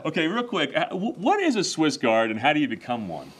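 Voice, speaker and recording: A man hosting a faith talk show, speaking with an emphatic, preaching tone and a lively, animated delivery. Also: on the high side, a little fast. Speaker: show host.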